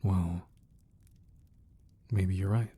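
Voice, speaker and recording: A man playing a protective, romantic crime boss husband in an intimate ASMR roleplay, soft and hushed, tender with an edge of menace. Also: low-pitched, steady tone, slightly rough, full character voice. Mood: wry, affectionate. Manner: slow, deliberate, tender murmuring with pauses.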